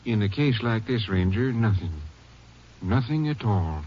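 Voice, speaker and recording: Composed psychiatrist, lowish voice. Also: male voice, measured pace. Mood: calm, professional. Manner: calm, clinical. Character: composed, reassuring male psychiatrist. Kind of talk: 1950s radio drama acting. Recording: muffled old radio recording, faint noise.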